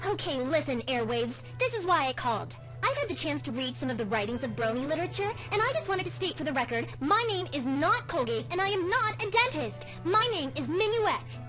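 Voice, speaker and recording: A woman's voice: high-pitched, big pitch swings, brisk, hardly any pauses, music in the background. A woman playing an indignant, huffy female pony calling in to complain in a radio play.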